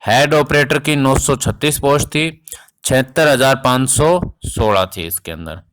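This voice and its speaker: A man's voice: medium pitch, normal speed, fairly bright tone. A man explaining exam updates informally as a coaching teacher, addressing students directly and repeating points for emphasis.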